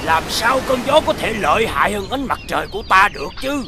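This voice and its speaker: Boastful sun spirit. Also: male voice, high-pitched, very animated, fast, noisy background. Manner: boastful, rivalrous. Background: background music.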